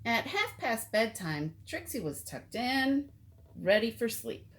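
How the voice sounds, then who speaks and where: A woman reading a picture book aloud to children as an expressive storyteller. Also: fairly high pitch, takes it slowly.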